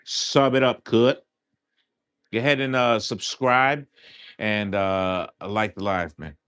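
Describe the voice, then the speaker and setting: Slow, low-voiced joking man streamer. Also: steady tone, fairly bright tone, clean tone, dry recording. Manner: joking, rambling, often says "you know what I'm saying"; informal slangy talk. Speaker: livestream host. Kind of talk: casual livestream chat.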